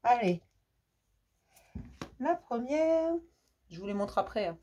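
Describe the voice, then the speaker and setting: A French woman reads tarot cards for her viewers in a gentle, hesitant, affectionate way, often restarting her sentences. Her voice sits fairly high and sounds husky, thickened by a cold. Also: very animated, takes it slowly, lo-fi sound. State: has a cold. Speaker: tarot card reader. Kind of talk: casual card reading for viewers.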